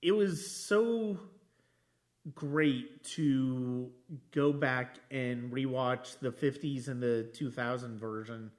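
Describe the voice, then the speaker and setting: A man hosting a casual film discussion, speaking haltingly and circling back over his phrases. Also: mid-range voice. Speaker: podcast host.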